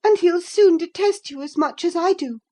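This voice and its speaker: A woman's voice: normal speed, dark tone. Woman playing a defiant, reckless young woman. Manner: bold, high-pitched, taunting.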